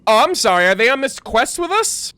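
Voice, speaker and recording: A man doing casual, slangy reaction commentary as a game streamer, expressive and free with swearing. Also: very high-pitched, a little fast, clear, resonant tone, no echo.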